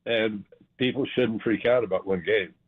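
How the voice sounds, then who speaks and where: An older American man from California, over sixty, calling a radio show by phone and chatting casually in a halting, folksy way. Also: on the low side, slightly rough. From California, USA. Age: 60s or older. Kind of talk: casual chat. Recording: tinny, narrow phone line.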